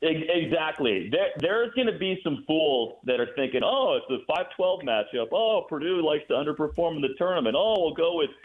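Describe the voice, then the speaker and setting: A man speaking as a guest announcer in a casual sports radio interview, thinking aloud with halting, repeated words. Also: on the high side, expressive. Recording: muffled phone-like line.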